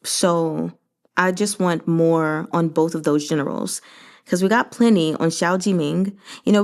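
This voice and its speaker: A woman hosting a read-along, giving casual, conversational commentary on a novel with animated, emphatic asides. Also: medium pitch, creaky. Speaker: host of a book read-along channel.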